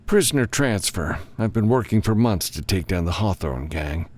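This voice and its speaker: A man's voice: fairly low pitch, lively intonation, normal speed, a little mellow, pitched above the performer's own voice. A man voicing a grim, commanding government lawman in an audiobook, giving a frank, businesslike briefing. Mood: businesslike, firm.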